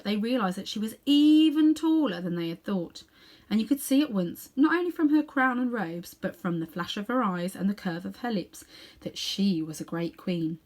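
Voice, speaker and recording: Female storyteller for a children's read-aloud: expressive, dramatic, chatty asides, mid-pitched voice.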